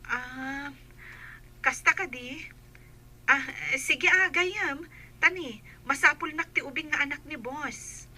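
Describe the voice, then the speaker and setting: A woman's voice: high voice, takes it slowly, long pauses, sharp tone, full character voice, low-quality recording. A woman playing an earnest young nanny talking to a friend in a radio drama. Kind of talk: Ilocano radio drama acting.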